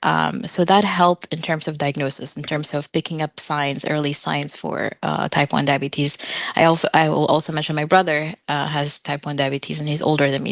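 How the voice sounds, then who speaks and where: A woman in her thirties speaks in a low voice with a gravelly edge. She talks as a podcast guest, halting and full of ums and uhs. The sound is dry and close. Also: no echo. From Sudan, raised in Oman. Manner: hesitant, many ums and uhs. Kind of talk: casual interview answers.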